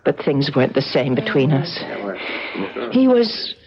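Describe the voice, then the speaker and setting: An actress playing an earnest, sympathetic gallery keeper in love with a troubled artist, in vintage radio drama style. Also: female voice, low-pitched, big pitch swings, measured pace, a crowd in the background. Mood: wistful, sad. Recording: dull, noisy old radio broadcast recording.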